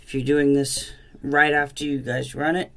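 A man giving a casual, unhurried how-to narration while working outdoors, heard through a camera set near the job. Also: mid-range voice, little pitch movement, vocal fry, bright, forceful tone. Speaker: DIY mechanic demonstrating a repair. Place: outdoors in a driveway beside a jet ski. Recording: outdoor camera audio with background noise.

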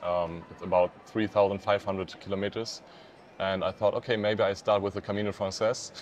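A man's voice: on the low side, level tone, normal speed, highs cut off, a little hiss or hum. A man in his late twenties from Hamburg, a German native speaker, answering simply and hesitantly outdoors on a lapel mic. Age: 20s. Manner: hesitant, simple phrasing with fillers. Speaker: interviewee, pilgrim. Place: outdoors under a stone arcade.

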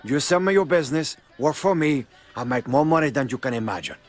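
An actor playing a cocky, menacing crime boss with a Cuban accent in a video game cutscene. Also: male voice, on the high side, lively intonation, fairly quick. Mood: persuasive.

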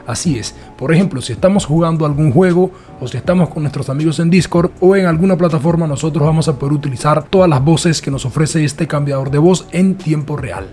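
A man narrating a casual software tutorial in a chatty, repetitive way. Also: fairly high pitch, a little fast, few pauses, faint music behind. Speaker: tutorial host.

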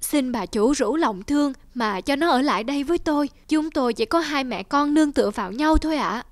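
A woman voicing a poor, gentle servant mother in a cartoon folk tale, humble and deferential. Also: high voice, expressive, a little fast, no room sound, clean studio sound. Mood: humble, pleading. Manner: soft, pleading, deferential. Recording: music behind the voice.

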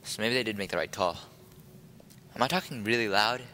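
A man's voice: lowish voice, fairly quick, pushed and bright, a little hiss or hum. A young man giving casual, rambling, sardonic commentary as he plays a video game. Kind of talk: casual let's play commentary. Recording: game music under the commentary.

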